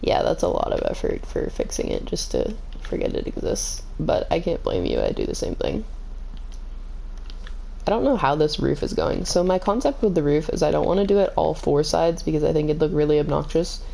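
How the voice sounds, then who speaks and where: Woman game streamer, slightly hoarse and scratchy, calm and low, dark gravelly tone. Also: a little fast. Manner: calm, kept in her lower range. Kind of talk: casual gaming stream chat.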